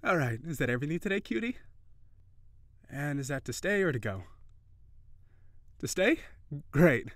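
A man playing a cheery, shy, flirty kitsune barista in an intimate audio roleplay, speaking hesitantly and giggly, with gentle encouragement. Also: on the high side, sing-song, normal speed, pauses often, dry recording, studio quality. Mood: cheerful, flirty.

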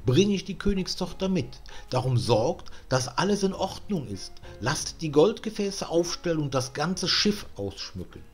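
A man's voice: a little high, big pitch swings, moderate pace, hardly any pauses, breathy edge, soft background music, voice pushed up. A man voicing a proud, lovestruck young king in a storybook reading. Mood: hopeful, commanding.

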